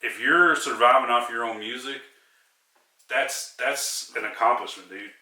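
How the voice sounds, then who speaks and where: Encouraging interviewer in an echoey room. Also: male voice, medium pitch, a little slow, bright, forceful tone, distant mic. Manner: encouraging, repetitive affirmations. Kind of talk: casual interview talk.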